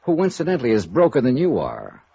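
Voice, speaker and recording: A man's voice: mid-range voice, huge pitch swings, fast, creaky, warm tone, slightly rough, exaggerated voice. A man acting in a vintage radio noir drama as a cool, sardonic investigator with a dry, needling, self-assured manner. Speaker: radio detective lead. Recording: muffled, echoey vintage radio recording.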